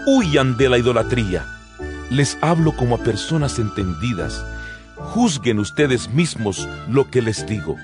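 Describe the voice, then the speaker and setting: An actor playing an apostle earnestly exhorting believers in a dramatized, measured scripture reading. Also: male voice, mid-range voice. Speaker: Bible audio narrator. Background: background music.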